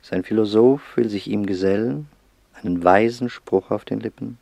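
An actor as the sober, detached narrator of a radio play, reading literary text in a measured, grave manner. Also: male voice, fairly low pitch, vocal fry, mellow, no room sound.

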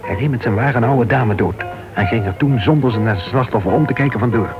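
Man acting an earnest club member, insistent, quick, creaky low-ish voice, radio drama, music behind. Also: close to an everyday voice. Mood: urgent, grave. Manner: urgent, grave, insistent. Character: earnest, disapproving male club member raising alarm. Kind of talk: Dutch radio play acting. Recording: dull old radio recording with music.

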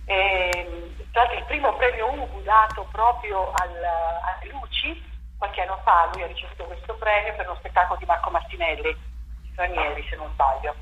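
A woman playwright and director giving an interview about her stage play, talking in an animated way and restarting her sentences often as she thinks aloud. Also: on the low side, moderate pace, dull audio. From Italy. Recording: echoey room with faint noise.

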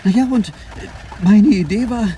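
A man's voice: high voice, moderate pace, dark tone, close to an everyday voice. An actor playing a flustered, agitated would-be playwright who stammers and repeats his words in a German radio play. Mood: agitated, explaining. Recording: noisy digitized radio cassette.

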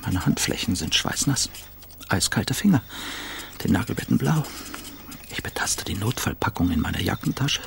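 An actor in a radio play playing a jittery, obsessive addict in a breathy, half-whispered, intense inner monologue. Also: male voice, on the low side, big pitch swings, moderate pace, long pauses. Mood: agitated, craving. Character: jittery, obsessive man craving a cigarette.

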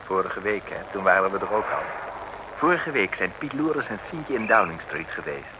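A man's voice: low voice, big pitch swings, normal speed. A man narrating an old radio serial in a chatty way, speaking straight to the listeners as he recaps. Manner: chatty storytelling, addressing the listeners directly. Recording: noisy old radio recording.

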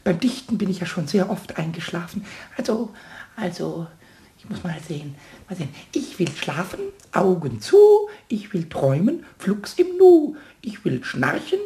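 An actor playing a small, childlike male kobold in a German radio play, cheeky, stubborn and excitable with a squeaky voice. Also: normal speed. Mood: sleepy, sulky. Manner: excitable, self-repeating, sing-song when rhyming.

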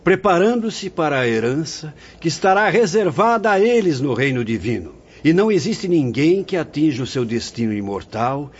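An actor in a radio drama playing a venerable, benevolent spirit mentor who speaks in a grave, formal, preaching manner. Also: male voice, a little high, sing-song, moderate pace, few pauses, husky. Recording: muffled radio drama recording, faint background noise.

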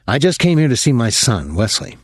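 Man playing a blunt, bitter father, animated pitch. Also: fairly quick, breathy edge, no room sound, clean studio sound. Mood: curt. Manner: curt, plain-spoken. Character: blunt, bitter, short-tempered working man, father of a cadet.

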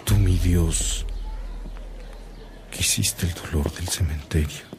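Solemn, slow-speaking Mexican bishop. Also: male voice, low-pitched, background noise. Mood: moved, sorrowful. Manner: solemn, formal, measured. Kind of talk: radionovela acting.

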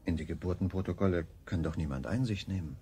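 A man's voice: low-pitched, quick pace, dark tone, a little hiss or hum, close to an everyday voice. An actor playing a cold, mocking king-father in a radio play, condescending and falsely soothing. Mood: cold mockery. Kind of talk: 1970s German radio play acting.

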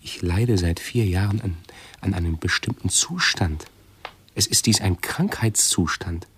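An actor playing a fussy hypochondriac man in a radio play, who talks haltingly and pedantically, correcting himself. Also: sits low, husky, full character voice. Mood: pedantic, halting.

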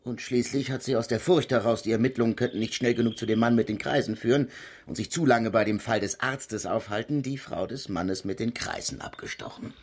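A man's voice: mid-range voice, fairly quick, lightly acted. An actor playing an earnest, sceptical male police inspector in a radio crime drama, arguing his case emphatically. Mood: explanatory. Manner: emphatic, argumentative.

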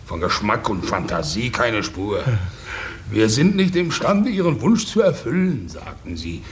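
A man's voice: on the low side, sing-song, measured pace, a little hiss or hum. An actor playing a vain, stingy Russian nobleman, a count's brother, in a theatrical, boastful, mocking manner in a 1950s German radio play.

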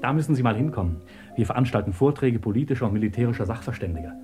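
Actor as eager chatty male assistant, very animated. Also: mid-range voice, rapid-fire, music in the background, lightly acted. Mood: amused, gossipy. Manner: chatty, ingratiating, deferential to his superior. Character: eager, talkative pro-Soviet male assistant at a research institute. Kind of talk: German radio play acting.

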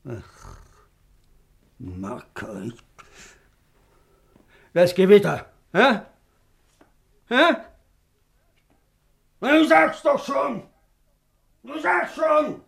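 An actor in a 1950s German radio drama playing an agitated, grieving war veteran with a gravelly, husky voice and a halting, repetitive delivery. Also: male voice, sits high, expressive, fairly bright tone, no room sound, clean studio sound, heavily acted. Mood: alarmed, distraught. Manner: halting, repeating himself, swinging from muttering to outbursts.

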